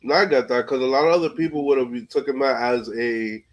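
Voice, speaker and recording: A man's voice: mid-range voice, steady tone, brisk. A man hosting a casual interview, speaking in informal, slangy phrases peppered with filler words. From New York, USA. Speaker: podcast host interviewer.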